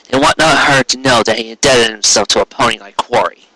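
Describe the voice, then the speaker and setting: A man narrating scripted fiction as a storyteller, with an expressive, dramatic and florid delivery. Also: medium pitch, fairly quick, rough recording.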